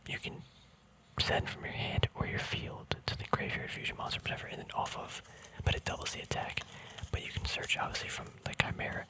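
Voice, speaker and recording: Guy doing asmr, all soft whispering, laid back and chatty like showing off a hobby. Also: fairly quick, rough recording. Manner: relaxed, informal, chatty. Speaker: ASMR video host. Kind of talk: whispered ASMR, casual card deck walkthrough.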